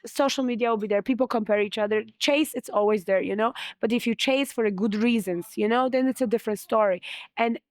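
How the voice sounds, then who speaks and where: A woman's voice: fairly high pitch, brisk, no room sound. A young woman with a Polish accent, chatting as an interview guest, filling her talk with "you know" and speaking earnestly. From Poland. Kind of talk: casual interview chat.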